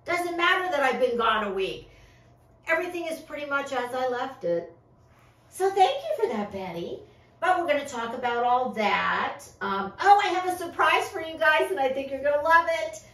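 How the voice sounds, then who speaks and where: A middle-aged woman hosting a casual commentary vlog with a theatrical, sassy, drawn-out delivery. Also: high-pitched, sing-song, fairly bright tone. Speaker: host of a crime and fashion commentary show. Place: small furnished room, indoors. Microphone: far camera microphone. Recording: echoey room, distant microphone.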